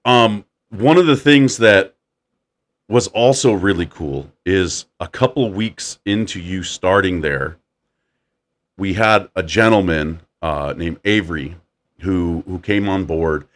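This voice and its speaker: A man with a deep voice hosting a casual chat, animated as he tells stories and acts out quotes. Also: slow. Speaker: podcast host.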